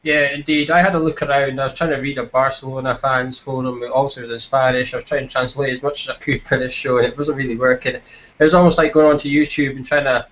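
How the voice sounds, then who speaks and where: A man's voice: medium pitch, level tone, quick pace, fairly bright tone. A Scottish man casually hosting a football fan chat show in a self-deprecating, jokey way. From Scotland. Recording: dull lo-fi voice chat.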